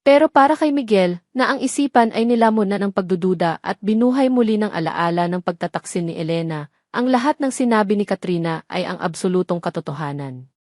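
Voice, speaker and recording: A woman's voice: medium pitch, lively intonation, brisk, darkish tone, no echo, clean studio sound. A woman narrating a dramatic romance story from a script.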